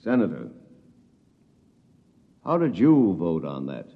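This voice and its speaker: An actor playing a proud, brusque general with clipped, laconic soldierly delivery in a radio drama. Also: male voice, low voice, huge pitch swings, takes it slowly, creaky, slightly rough, narrow, tinny audio, heavily acted. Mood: defiant, accusing. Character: proud, gruff male military general, defiant and brusque. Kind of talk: old-time radio drama acting.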